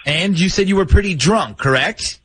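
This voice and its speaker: A man's voice: sits high, normal speed, a bit bright. A man hosting a radio call-in show, interviewing conversationally with probing questions and recaps. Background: background music.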